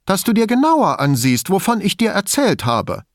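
An agitated woman in an audiobook , acted out by a male reader, pitched high and swinging widely in tone. She is theatrical and defensive, with spite and indignation pressed into emphatic words. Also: sing-song, moderate pace, dry recording, studio quality. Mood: pleading. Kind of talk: audiobook character dialogue.

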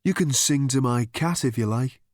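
A man voicing a gentle, vague philosophical hermit in a storybook, speaking politely in a mild, detached, musing way. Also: medium pitch, normal speed, dry recording, clean studio sound, lightly acted.

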